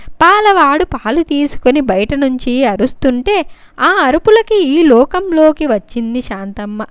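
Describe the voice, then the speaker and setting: A woman's voice: high-pitched, no echo, clean studio sound. A woman reading a scripted story as its narrator.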